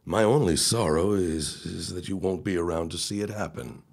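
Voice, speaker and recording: An actor playing an ambitious young protégé in an audio drama, speaking in a formal, deferential manner. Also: male voice, deep voice, moderate pace, gravelly.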